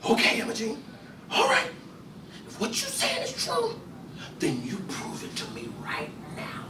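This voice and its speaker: A man voicing a rough, furious father who shouts in harsh rural dialect and breaks down. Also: very high voice, wildly swooping pitch, measured pace, voice pushed up, exaggerated voice. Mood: enraged. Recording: roomy, distant mic, faint hiss.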